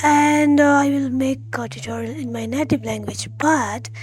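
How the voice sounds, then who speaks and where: A woman hosting a tech tutorial, explaining step by step in a halting, friendly way and speaking straight to her viewers. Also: sits high, a little mellow, soft background music. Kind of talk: spoken tutorial walkthrough.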